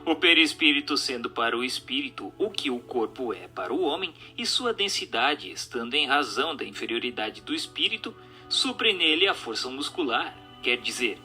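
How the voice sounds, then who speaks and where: A man with a Brazilian accent reads in a very bright, forceful voice with animated intonation. His delivery is formal and didactic, like dramatized narration of a solemn text, with every phrase clearly set out. Music plays faintly behind him. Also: medium pitch, moderate pace, hardly any pauses. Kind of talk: dramatized reading of a spiritual text.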